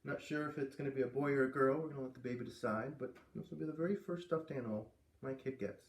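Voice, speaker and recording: A man reading a story aloud with expressive narration and casual asides. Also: medium pitch, quick pace. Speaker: storybook reader. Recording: echoey room.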